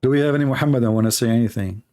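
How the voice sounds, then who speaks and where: A man with a mellow, warm voice holding forth as a call-in show host. He rambles through a sarcastic comic monologue, piling on mock-serious claims and fillers as he goes. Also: mid-range voice, racing. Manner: mocking, rambling and ironic, with many fillers.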